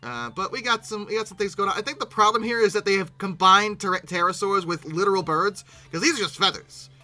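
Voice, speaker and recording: A YouTube host giving casual, humorous reaction commentary in a halting, bemused way, often repeating words. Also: mid-range voice, animated, sharp tone, faint music behind.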